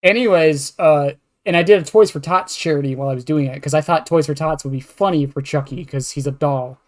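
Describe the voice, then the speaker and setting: A man's voice: on the high side, fairly quick. A man chatting casually on a podcast as a streamer, rambling and self-deprecating, with some swearing.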